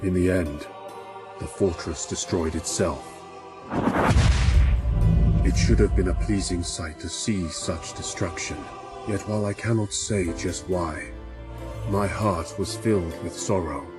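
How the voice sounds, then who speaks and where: A man playing a solemn war veteran, delivering scripted dramatic narration of a grim space chronicle in a measured, theatrical way. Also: low-pitched. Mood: somber, reflective. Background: loud music.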